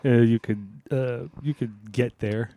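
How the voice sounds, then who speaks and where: A man co-hosting a comedy podcast, trading casual banter and anecdotes in a deadpan way. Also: mid-range voice, a little slow.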